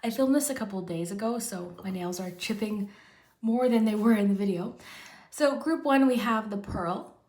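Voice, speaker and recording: A woman hosting a pick-a-card tarot reading, chatty and rambling, and a little hoarse from losing her voice. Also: medium pitch, moderate pace, a little mellow. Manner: chatty, rambling, frequent "kind of" and restarts. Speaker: tarot reader, channel host. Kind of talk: casual pick-a-card tarot chat to camera.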